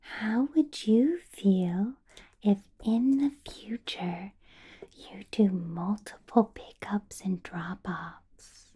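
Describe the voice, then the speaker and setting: Slow, whispering vampire boss lady, acted by a woman. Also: medium pitch, full character voice. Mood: coaxing, reassuring. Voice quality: breathy soft whisper. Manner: slow, coaxing, hushed questioning with lingering hums. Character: female vampire boss, doting, quietly probing and possessive. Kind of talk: ASMR roleplay, personal attention.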